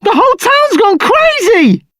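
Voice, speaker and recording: Shrill, panicked young townsperson. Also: female voice, sing-song, unhurried, fairly bright tone, a little hiss or hum, exaggerated voice. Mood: panicked, alarmed. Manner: urgent, exclaiming. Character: panicked, high-voiced young townsperson raising the alarm. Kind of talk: video game character acting.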